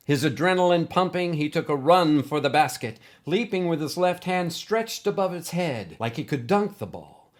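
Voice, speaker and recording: A man reading a scripted story as narrator, with expressive, deliberate phrasing. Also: mid-range voice.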